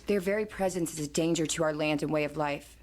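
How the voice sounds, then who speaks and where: A stern, proud matriarch of a tribe, acted by a woman in an audio drama. Her middle-aged voice sits low for a woman and carries command, as a mother and ruler used to being obeyed. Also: fast talker, darkish tone. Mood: accusing. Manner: commanding, authoritative.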